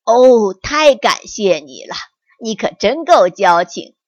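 A woman voicing a big, easily frightened male tiger in a children's story, speaking loudly and earnestly. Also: on the high side, animated, slow pace, no echo, dull audio. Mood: grateful.

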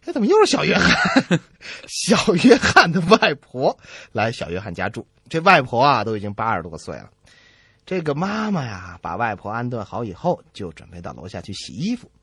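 Male comedy co-host, raspy-edged voice, bouncy intonation, playful joke delivery, close and dry. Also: mid-range voice, wildly swooping pitch, moderate pace, fairly bright tone, no echo. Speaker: comedy radio show co-host. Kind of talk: playful scripted joke-telling banter.

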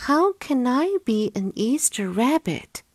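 A woman's voice: on the high side, big pitch swings, slow, dry recording, studio quality, pitched above the performer's own voice, full character voice. A woman voicing a small, anxious male bunny in a children's storybook, plaintive and pleading with emphasis. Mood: distressed.

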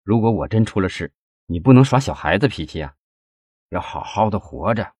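Male narrator as low-voiced troubled husband. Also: fairly quick, a little mellow, dry recording, studio quality, close to an everyday voice. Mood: sorrowful, tender. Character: troubled, anxious husband on the run, decisive. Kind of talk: audiobook character dialogue.

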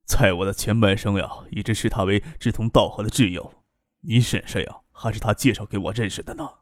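Guy doing a weary middle-aged man in an audiobook, low and slow, sighing, kinda resigned. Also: steady tone, no room sound, studio quality, close to an everyday voice. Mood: weary resignation.

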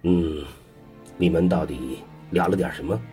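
An actor playing a stern, blunt, impatient middle-aged command boss in a science fiction audio drama. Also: male voice, deep voice, unhurried, warm tone, husky, faint music behind, full character voice. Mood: exasperated. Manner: blunt, exasperated.

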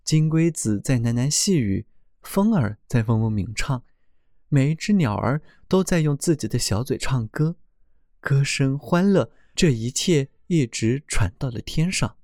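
A man reading a children's fairy tale aloud in a gentle, expressive storytelling manner. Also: medium pitch, measured pace, no room sound, clean studio sound.

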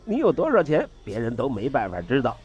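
Husky, wheedling trader. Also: male voice, medium pitch, huge pitch swings, fairly quick, darkish tone, lo-fi sound. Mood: courteous persuasion. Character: chatty, wheedling male trader, courteous and salesmanlike. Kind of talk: Chinese audio drama dialogue.

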